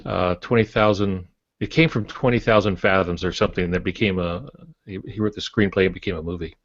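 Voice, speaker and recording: An older man co-hosting a podcast, explaining his thoughts reflectively and haltingly in casual discussion. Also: sits low, steady tone, no room sound, muffled recording. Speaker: podcast co-host.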